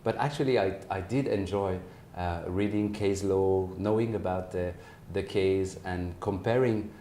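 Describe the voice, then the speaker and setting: A man in his forties from France with a French accent, giving measured, hesitant interview answers as a company managing partner. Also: deep voice, warm tone. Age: 40s. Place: office, indoors. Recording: roomy echo, faint background noise.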